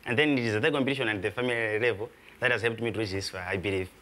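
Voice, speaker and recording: A man's voice: fairly low pitch, fairly even intonation, very fast, a bit bright. A man, a quiz contestant, earnestly reasoning through an answer.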